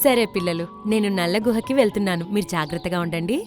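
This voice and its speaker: A woman's voice: on the low side, fast, darkish tone, lightly acted. A woman voicing a caring, sensible mother bird in a children's cartoon story. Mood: gentle, reassuring. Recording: background music under the voice.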